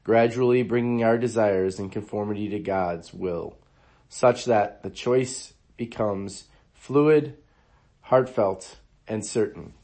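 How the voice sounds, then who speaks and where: A hoarse man, under the weather, hosting a devotional podcast and reading quotes in an earnest, measured way. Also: fairly low pitch, dark tone. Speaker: podcast host.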